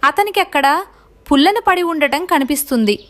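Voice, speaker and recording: A woman storyteller with a high voice narrates a children's tale. Her delivery is scripted narration, linking the scenes of a cartoon moral story. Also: lively intonation, normal speed, a bit bright.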